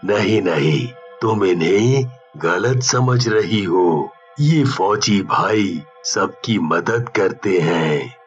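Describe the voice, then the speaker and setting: Reassuring holy man for a ghost tale, measured, muffled recording, music behind; male actor. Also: deep voice.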